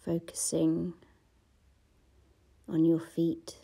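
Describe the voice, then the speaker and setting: Woman meditation guide: dark, gravelly voice, soft and half-whispered, unhurried. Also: low voice, completely flat delivery. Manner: slow, soft-spoken, often half-whispered.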